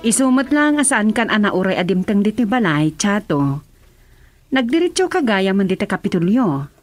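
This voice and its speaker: An actress playing a composed, well-off madam of a political household in an Ilocano radio drama, measured and authoritative. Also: female voice, medium pitch, sing-song, faint music behind, lightly acted.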